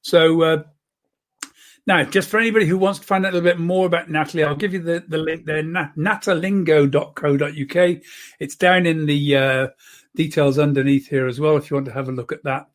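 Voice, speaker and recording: An older woman from Britain hosting a casual interview, with a halting, word-repeating, chuckling delivery. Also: low-pitched, fairly even intonation. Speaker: show host.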